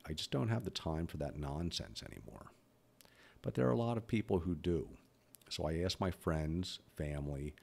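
A man's voice: extremely low pitch, gravelly, a little mellow, breathy edge. A man in his fifties who stutters, talking bluntly and candidly about his own life as a podcast host. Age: 50s. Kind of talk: personal reflective monologue.

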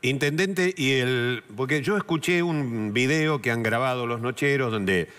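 A man with an Argentine accent hosting a TV studio interview, asking measured questions with filler words. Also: medium pitch, fairly bright tone. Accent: Argentine Spanish. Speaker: TV show host. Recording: reverberant studio sound.

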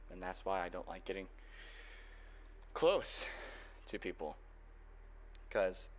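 A young man playing a guarded, protective cyberpunk hacker boyfriend in an ASMR roleplay, speaking earnestly and haltingly. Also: deep voice, a bit bright. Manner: earnest, halting delivery with sighs. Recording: dull lo-fi home recording, faint noise.

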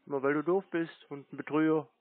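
A man's voice: a little high, steady tone, a little fast, no room sound. Taunting man on a tinny phone line. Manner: sarcastic, taunting, blunt. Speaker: scam baiter taking a call. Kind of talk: mocking phone banter. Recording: tinny, narrow phone-like sound.

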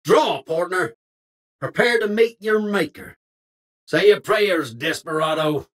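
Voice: medium pitch, slow. A voice actor playing a feisty folksy cowboy in a video game, speaking in an exaggerated frontier drawl full of old Western slang. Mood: threatening. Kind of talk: video game character voice lines.

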